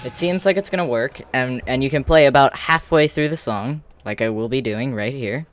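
A person giving casual gameplay commentary in a halting way with false starts, in a room at home. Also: medium pitch, soft background music. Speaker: streamer demonstrating a game.

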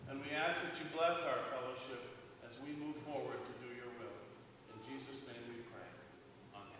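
An older man, a pastor, chatting informally and haltingly during a children's story in a large church sanctuary. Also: medium pitch. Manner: relaxed and halting, with many restarts. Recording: echoey church hall, distant mic, faint noise.

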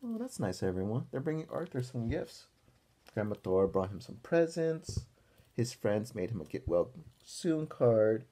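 A young man reading a picture book aloud to children as a teacher, pausing for encouraging asides and questions. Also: medium pitch, very animated, dark tone. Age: young adult. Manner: encouraging, asks listeners questions. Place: small room at home, indoors.

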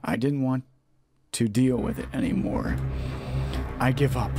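A man's voice: mid-range voice, measured pace. A man narrating a horror visual novel as a let's play host, reading aloud and sometimes stumbling and rereading phrases. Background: game music.

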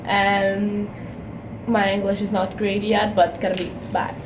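A woman's voice: medium pitch, flat delivery, a little slow, very noisy. A young woman from Brazil with a Brazilian Portuguese accent, chatting casually to camera in a hotel room about her trip and filling her talk with "like". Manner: chatty, frequent "like" fillers. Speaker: vlogger. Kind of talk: casual travel vlog to camera. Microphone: camera at arm's length.

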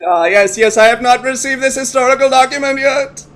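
A man's voice: very high-pitched, a little fast, fairly bright tone, slight background noise. A man playing an eager, naive alien posing as a human in a comedy sketch, pompous and over-formal, often muddling his words. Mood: awkward, formal. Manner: stiff over-formal wording, muddles words and corrects self. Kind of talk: comedy audio sketch acting.

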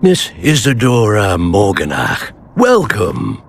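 An actor playing a grave, kindly elderly wizard professor in a fantasy game, speaking formally and with measure. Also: male voice, fairly low pitch, huge pitch swings, takes it slowly, slight background noise, full character voice. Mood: welcoming. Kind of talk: cinematic fantasy game acting.

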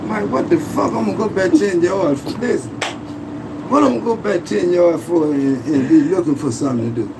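Distant high-voiced man arguing sports casually. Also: brisk. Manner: slangy, profane, insistent, repeats words to cut in. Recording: distant voice amid noisy ambience.

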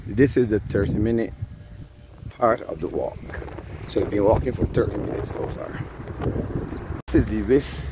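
Barbadian man, gravelly low voice, chatty walking vlog, phone mic, outdoor wind noise. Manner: chatty, addresses viewers as guys. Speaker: vlogger. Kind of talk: casual walking vlog narration. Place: outdoors on rural cane field roads. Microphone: handheld phone camera while walking.

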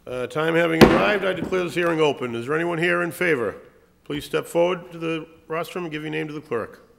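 Man, middle-aged, gravelly higher-pitched voice, council chairman, formal procedural delivery. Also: a little fast. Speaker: council president chairing a hearing. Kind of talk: formal procedural meeting talk. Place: large council chamber.

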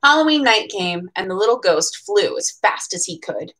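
A woman's voice: mid-range voice, lively intonation, normal speed, a bit bright. A woman in her twenties with an American accent, reading a children's picture book aloud expressively, with casual chatty asides. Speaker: bookseller reading at a storytime event. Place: small room at home.

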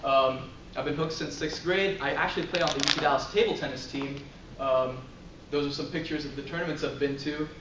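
Young male student, casual presenter with lots of ums, a touch high in pitch, recorded from afar in a roomy lecture room. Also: steady tone, brisk, few pauses, background noise. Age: young adult. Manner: casual, filler-heavy, chuckles often. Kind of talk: class presentation. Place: lecture room, in front of a projector screen. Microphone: far camera. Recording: roomy, distant camera audio.

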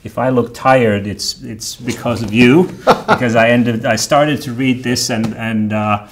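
A middle-aged man hosting an interview, speaking hesitantly with many pauses and restarts, in a small studio on a lapel mic. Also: mid-range voice, fairly even intonation, slight background noise. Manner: hesitant, full of fillers and restarts, laughs easily. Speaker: interviewer host. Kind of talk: casual interview talk. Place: small studio with red curtain.

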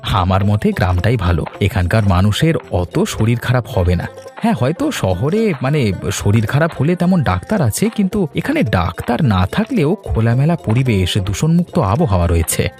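A man playing a cheerful, easygoing husband in a cartoon fairy tale, with a Bengali accent and a genial, expressive manner. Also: mid-range voice, moderate pace, soft background music. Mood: relaxed, admiring. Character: cheerful, easygoing Bengali husband who loves village life. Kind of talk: cartoon voice acting, domestic dialogue.

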